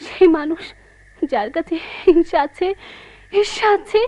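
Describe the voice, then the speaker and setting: A high-voiced, passionate, tormented wife. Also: female voice, animated, measured pace, warm tone, dry recording. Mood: frustrated, longing. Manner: theatrical, emotionally charged. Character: passionate, tormented married woman, proud and accusing. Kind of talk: Bengali radio drama acting.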